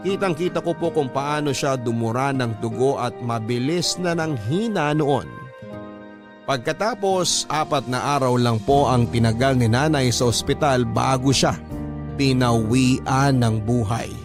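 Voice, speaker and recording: A man narrates a personal story as a radio host, in a dramatic scripted style. His mid-pitched voice sits over clear music. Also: a little slow. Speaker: radio host reading a listener's letter. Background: music under the voice.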